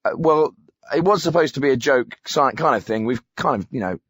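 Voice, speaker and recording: An actor with a British accent playing a smug, tetchy radio phone-in host, patronising and full of opinions. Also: male voice, mid-range voice, expressive, fairly quick, slightly rough, no room sound, studio quality. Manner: opinionated, patronising, filler-strewn patter.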